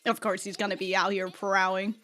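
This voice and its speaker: A woman's voice: medium pitch, level tone, brisk, fairly bright tone. A woman giving casual, slangy, sweary and giggly reaction commentary as host of a reaction video.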